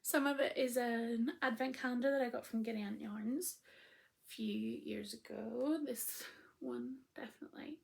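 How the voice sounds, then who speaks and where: A woman hosting a casual crafting podcast, her voice still croaky after illness, talking in a chatty, rambling way with frequent ums. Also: fairly high pitch, fairly even intonation, moderate pace. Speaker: knitting podcast host.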